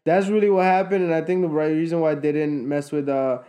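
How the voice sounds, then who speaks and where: A man's voice: on the high side, fairly even intonation, fairly quick, warm tone. A young man co-hosting a podcast, explaining at length in casual chat with many restarts and fillers, recorded by a camera across a table. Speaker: podcast co-host. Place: room with a conference table.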